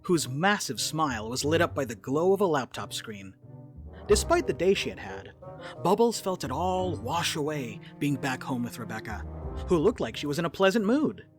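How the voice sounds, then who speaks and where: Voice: medium pitch, big pitch swings, normal speed, soft background music. A narrator reading scripted stage narration for a dramatic reading.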